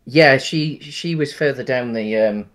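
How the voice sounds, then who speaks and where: A man's voice: medium pitch, a little slow, fairly bright tone. A man, co-hosting a casual film chat over a video call, rambling through restarts. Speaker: podcast co-host. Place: home room on a video call.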